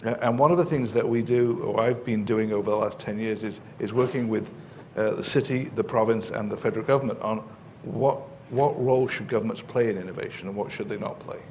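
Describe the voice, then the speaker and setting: Halting English-accented man on a panel. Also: on the low side, darkish tone, slight background noise. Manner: hesitant, many fillers and restarts, explanatory. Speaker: professor on a panel. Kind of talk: conference panel answer. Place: conference panel on stage.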